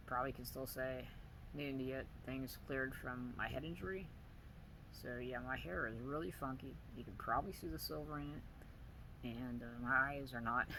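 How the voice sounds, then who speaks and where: A man talks quietly to his viewers in a weak, soft voice, stopping and starting as he gropes for words. His pitch sits in an ordinary middle range, and a steady hum of background noise runs under the recording. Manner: halting, searching for words, many pauses and fillers. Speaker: vlogger. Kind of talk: personal video diary.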